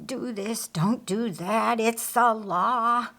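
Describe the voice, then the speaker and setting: A woman's voice: on the high side, a bit bright, full character voice. A woman voicing a grieving, angry woman's indignant inner thoughts in a dramatic audiobook. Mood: bitter. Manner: indignant, halting, emphatic.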